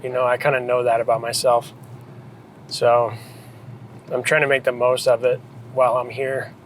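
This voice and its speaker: American dude, photographer type, chatting casually n thoughtfully, faint car noise in the background. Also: male voice, mid-range voice, monotone, moderate pace, poor audio. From United States, New England. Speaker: photographer and director being interviewed. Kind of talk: casual reflective chat.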